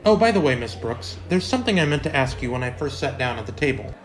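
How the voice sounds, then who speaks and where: A very lively, swinging voice for a mild male biology teacher, played by a man. He is polite, earnest and sweetly oblivious, in the manner of a classic radio comedy. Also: mid-range voice, quick pace, lightly acted, low-quality recording. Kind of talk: old-time radio comedy acting.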